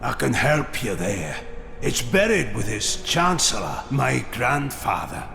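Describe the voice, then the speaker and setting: An actor playing a weary, gruff male blacksmith in a game. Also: medium pitch, sing-song, slow pace, bright, forceful tone, faint music behind, exaggerated voice.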